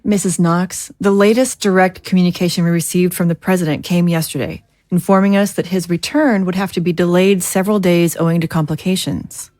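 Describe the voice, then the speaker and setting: A woman playing a composed, formal government leader in an audio drama, speaking in a measured, diplomatic way. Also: on the low side, steady tone, a little mellow, dry recording, natural voice. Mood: measured, grave.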